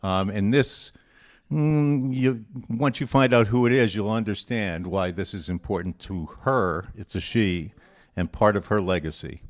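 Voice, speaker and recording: Man, lowish pitch, quiz presenter, reading quotes aloud, informal commentary, deliberate and conversational delivery.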